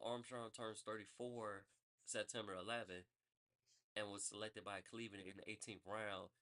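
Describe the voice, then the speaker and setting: Casual man with a bright voice. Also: lowish voice. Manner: loose, rambling, corrects himself mid-thought. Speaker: sports podcast host. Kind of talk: casual sports news rundown.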